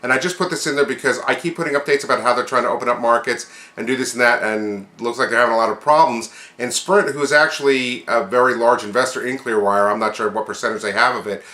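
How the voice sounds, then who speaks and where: A man's voice: mid-range voice, fast, few pauses, sharp tone, echoey room. A man hosting a tech news podcast, running through items in an informal, chatty, conversational way with casual commentary.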